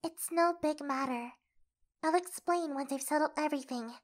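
High-voiced composed young princess played by a woman. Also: close to an everyday voice. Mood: composed, guarded. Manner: soft, measured, formal. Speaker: voice actor in a fan comic dub. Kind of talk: dramatic comic dub acting.

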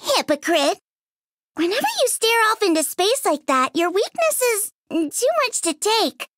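A cocky young android shield girl in a game, who taunts and teases everyone she meets. Her very high voice is bright and swoops wildly between notes. She draws out her lines slowly and smugly. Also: very animated, heavily acted. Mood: mocking. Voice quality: bratty, taunting, teasing laughs. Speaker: voice actress. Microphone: studio mic.

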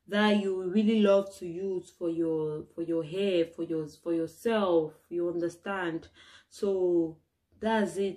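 A young woman from Cameroon telling her own stories to the camera, animated and exclaiming, acting out the people she met. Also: medium pitch. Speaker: vlogger. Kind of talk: casual personal storytelling to camera.

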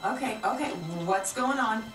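An actress playing a plucky, persistent young TV reporter in a video game, with an assertive, questioning manner. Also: female voice, fairly high pitch, moderate pace, natural voice. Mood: anxious. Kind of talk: cinematic video game acting. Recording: roomy, distant game audio with music.

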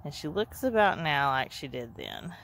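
A goat breeder gives a casual, chatty farm tour, laughing softly and talking to her goats as she walks around an outdoor pen. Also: sits low, very animated, normal speed, creaky, slight background noise. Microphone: handheld camera.

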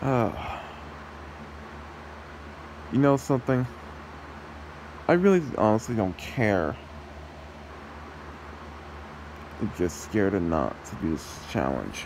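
A man voicing a possessive, taunting demon husband in an ASMR roleplay, with a mocking manner and a gravelly voice. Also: on the low side, expressive, moderate pace, background noise. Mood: coaxing, cocky.

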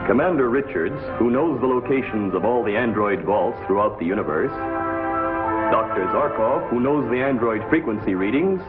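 Man as a portentous narrator, animated, tinny. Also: mid-range voice, lightly acted. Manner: portentous, deliberate phrasing. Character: portentous narrator of a space serial. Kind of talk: dramatic scripted narration, 1950s TV serial. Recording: tinny, band-limited 1950s TV soundtrack. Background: music.

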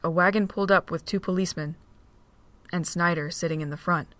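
A woman reading the narration of a fan audio drama in a steady storytelling voice. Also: on the low side, brisk, no echo.

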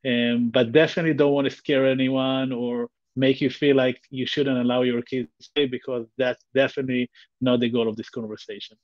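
An Israeli-accented man in his thirties talks earnestly from a bright home room over a video call, stumbling into restarts and fillers. The audio is dry and a little dull. His pitch sits mid-range. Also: little pitch movement, brisk, no echo. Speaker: guest, tech company founder. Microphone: video call webcam.

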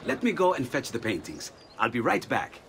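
A man playing an eager, courteous young Renaissance artist in a video game, expressive and musing, with an Italian accent. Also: medium pitch, moderate pace, creaky, slight background noise, exaggerated voice. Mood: cheerful, polite. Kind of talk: dramatic video game acting.

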